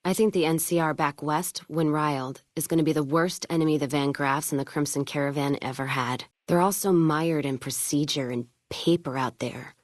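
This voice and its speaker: A low-pitched actress voicing a tough frontier caravan woman in a video game. She is blunt and wry, a hard drinker with a western turn of phrase. The sound is dry, with no room around it. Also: steady tone, moderate pace, few pauses, no room sound, lightly acted. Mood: weary, matter-of-fact. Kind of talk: video game character dialogue.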